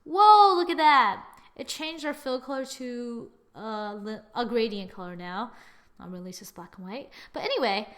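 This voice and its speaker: A young woman with a fairly high voice walks through a screen-recorded software lesson. She chats casually and thinks aloud as she works, teasing her own results. It sounds like a computer microphone at a desk. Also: expressive, normal speed. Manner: chatty, self-mocking, thinks aloud while clicking. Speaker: software tutorial presenter.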